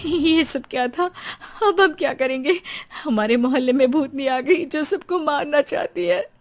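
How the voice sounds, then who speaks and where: Woman acting a high, agitated, sharp-tongued young wife. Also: a little slow. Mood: panicked. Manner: scolding, sarcastic, exclaiming. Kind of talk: Hindi cartoon horror story acting.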